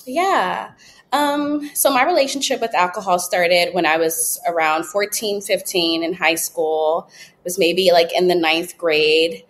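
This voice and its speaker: A woman in her late twenties telling her story as a podcast guest, candid and relaxed, with frequent ums and likes. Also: lowish voice, measured pace. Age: 20s. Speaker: podcast guest, app founder. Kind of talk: casual interview storytelling.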